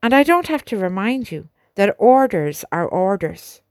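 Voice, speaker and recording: A stiff, reluctant army major, male, giving bad news in slow, measured words, as a woman with a lilt reads him. His tone stays firm and subdued. The sound is dry and close. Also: mid-range voice, very animated, a little mellow, no room sound. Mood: resigned.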